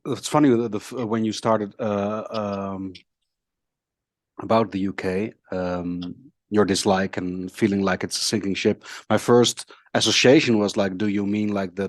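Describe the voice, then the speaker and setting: Man, first language Dutch, podcast host, tired, hesitant thinking aloud, low voice, dry recording. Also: steady tone, unhurried, dry recording. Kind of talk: casual podcast conversation.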